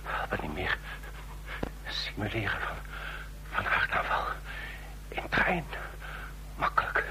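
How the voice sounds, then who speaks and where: An actor playing a dying, bitter heart patient in a radio drama, whispering in halting, broken phrases as he gasps for breath. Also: fairly low pitch, sing-song, rough recording. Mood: weak, bitter. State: gasping, near death.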